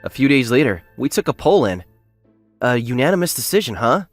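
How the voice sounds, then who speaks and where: A modest, candid young working man narrates and acts in a manga dub, played by a male actor. His intonation is very lively and earnest, with soft music underneath. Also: medium pitch, a little fast. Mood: musing. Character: modest, plain-spoken young male factory worker, story's narrator. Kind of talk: English manga dub acting and first-person narration.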